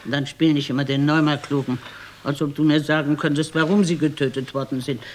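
A woman's voice: low-pitched, steady tone, fairly quick, darkish tone, slight background noise, natural voice. An actress playing a nagging old wife in her seventies, weary and sardonic, in a German radio play. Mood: scolding. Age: older.

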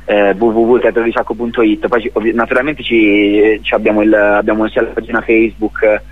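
A man from Italy giving a spontaneous radio interview as a theatre director, speaking haltingly and repeating words as he searches for phrasing. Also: lowish voice, little pitch movement, no echo, narrow, tinny audio.

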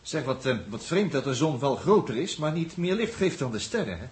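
A man's voice: mid-range voice, very animated, brisk, a little mellow, close to an everyday voice. An actor playing a jovial, chatty male crewman aboard a spaceship in a 1960s Dutch radio drama. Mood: amazed. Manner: chatty, colloquial, exclaiming.

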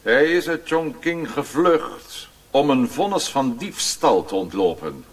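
Sneering priest, wildly swooping, husky. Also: male voice, medium pitch, big pitch swings, slow, recorded from a distance. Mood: spiteful, sneering. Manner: cold, pedantic, sneering. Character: hateful, sneering Chinese priest at a warlord's court. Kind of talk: radio drama acting.

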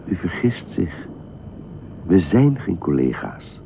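A man's voice: low-pitched, big pitch swings, slow pace, exaggerated voice. A man in a radio play acting a reserved, sceptical man who answers a stranger in a terse, matter-of-fact way. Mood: guarded, irritated. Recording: tinny band-limited radio play, clear noise.

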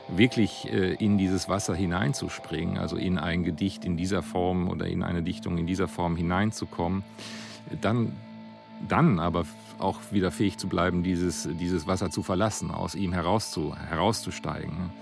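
A man in spontaneous reflective discussion, halting and searching, repeating words as he forms his thought. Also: low voice, steady tone. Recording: music playing clearly underneath.

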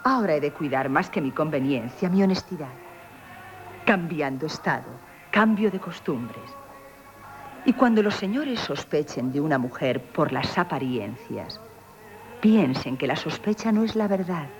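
An actress with a Castilian Spanish accent playing a witty, proud young innkeeper in a theatrical radio comedy, teasing and emphatic. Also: female voice, sits low, lively intonation, unhurried, faint music behind. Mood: resolute. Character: witty, coquettish, proud young female innkeeper, mock-humble. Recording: hissy 1970s radio broadcast.

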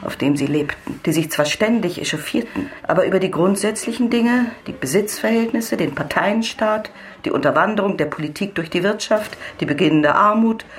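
A woman acting in a radio drama as a serious narrator who recounts a writer's decline in measured, articulate sentences. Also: medium pitch, animated, natural voice. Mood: sober, intent.

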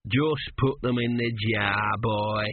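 A man reading an audiobook, voicing a weary, patient veteran male embalmer who talks slowly and matter-of-factly. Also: mid-range voice, husky, dry recording. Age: middle-aged. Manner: slow, tired, matter-of-fact. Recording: tinny radio broadcast.

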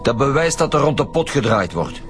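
A man's voice: mid-range voice, sing-song, a little fast, fairly bright tone, soft background music. An actor playing a blunt, dogged male homicide detective in a radio drama, insistent and pointed, with a Flemish accent. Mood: pressing, accusing. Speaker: police detective.

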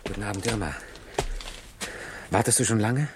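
Actor as frustrated idealist programmer, low male voice, earnest, impassioned, noisy old radio recording. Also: moderate pace, close to an everyday voice. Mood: weary, apologetic. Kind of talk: 1960s German radio drama acting.

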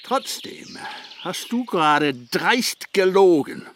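Sardonic older Irish militant, bright swooping voice, slow and mocking, radio drama, faint background noise. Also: male voice, sits high, sing-song, exaggerated voice. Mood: taunting. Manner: mocking, theatrical, halting delivery. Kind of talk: radio crime drama acting.

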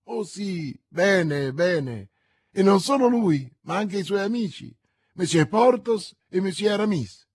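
A man's voice: on the high side, measured pace, darkish tone, husky, no room sound, studio quality. A man voicing a young, eager female convent novice who gushes with trusting enthusiasm in an audiobook. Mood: enthusiastic. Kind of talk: audiobook character reading.

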